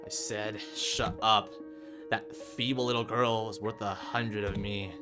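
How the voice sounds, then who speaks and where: A man's voice: on the low side, flat delivery, measured pace, music in the background, close to an everyday voice. Man acting an anguished, defiant game protagonist. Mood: angry. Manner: dramatic, strained outbursts and bitter muttering. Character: anguished, angry young game protagonist, defiant but frozen with dread.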